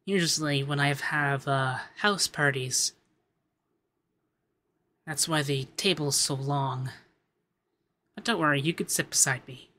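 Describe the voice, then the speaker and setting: Soft, unhurried rich femboy acted by a man. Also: a little high. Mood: friendly. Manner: soft-spoken, giggly, affectionate, hesitant fillers. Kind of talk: ASMR roleplay.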